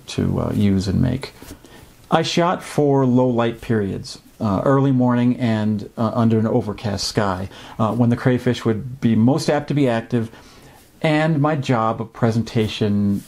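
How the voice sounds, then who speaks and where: Measured middle-aged man explaining fishing. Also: fairly low pitch, gravelly, a little mellow. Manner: measured, explanatory, frequent ums and uhs. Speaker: fishing video host. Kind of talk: instructional fishing talk. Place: indoor home office.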